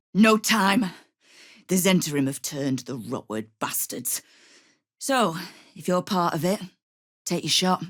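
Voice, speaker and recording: A hard-bitten female guild boss from a fantasy game speaks in a low, gravelly voice, close and dry in the recording. She is sardonic and commanding, used to giving orders and making threats with dry wit. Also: a bit bright, dry recording, full character voice. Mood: grim, urgent. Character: tough, sardonic woman crime boss ruling a city thieves' guild. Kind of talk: video game character acting.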